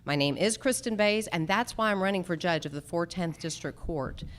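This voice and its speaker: Lower-pitched woman, emphatic campaign speaker. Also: normal speed. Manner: emphatic, slogan-like short statements. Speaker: judicial candidate, lawyer. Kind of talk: campaign stump speech. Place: live candidate forum.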